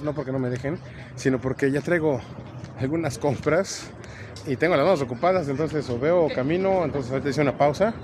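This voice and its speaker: A man's voice: medium pitch, background noise. A man in his thirties hosting a casual walk-and-talk vlog at an outdoor swap meet, chatting and rambling as he lists the items he sees, recorded on an action camera. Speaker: vlogger. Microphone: action camera on body or handheld.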